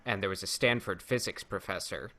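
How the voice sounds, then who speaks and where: A man with a fairly low, very bright voice talks casually as a podcast host. He tells stories in a halting way, pausing to find his words, with a crisp forward edge to the tone. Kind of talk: casual chat, anecdotes.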